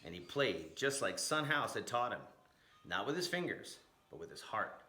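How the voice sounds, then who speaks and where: A man reading a story aloud in an expressive, dramatic narrating style with emphatic, rhythmic phrasing. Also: lowish voice, moderate pace. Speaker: storyteller reading a picture book aloud, blues educator. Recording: roomy, echoing room sound.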